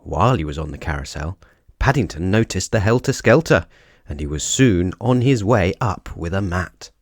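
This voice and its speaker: A man narrating a children's storybook as an expressive storyteller. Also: low voice, moderate pace, dry recording, clean studio sound.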